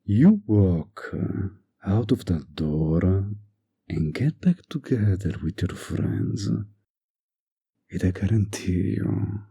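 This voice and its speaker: A man playing a smug, manipulative, flirtatious villain in an intimate audio drama, coaxing with deliberate pauses in a breathy, husky voice. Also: sits low, big pitch swings, dark tone, exaggerated voice. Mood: menacing, persuasive.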